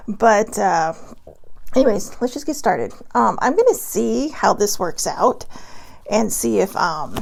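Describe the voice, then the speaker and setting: A woman's voice: medium pitch, vocal fry, darkish tone. A woman raised in Hawaii chatting casually from home, bubbly and giggly as she rambles through a card reading. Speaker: vlogger doing a card reading. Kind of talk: casual livestream chat.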